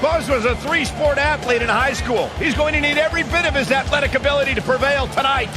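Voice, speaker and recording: A man's voice: very high-pitched, quick pace, over music. A man playing an enthusiastic play-by-play broadcaster in a wrestling video game, calling it in hyped TV style. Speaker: play-by-play commentator.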